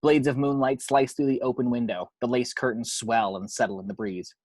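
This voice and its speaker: A man with a husky voice reading scripted screenplay action descriptions as narrator. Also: mid-range voice, steady tone, brisk, a little mellow, dry recording.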